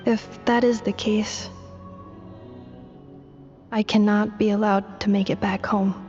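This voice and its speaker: A woman voicing a gentle, earnest female robot in a video game, precise in wording and a little hesitant. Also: medium pitch, level tone, slow, lightly acted. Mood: troubled, resolute. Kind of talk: scripted video game dialogue. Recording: dull muffled audio, long hall reverb. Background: game music.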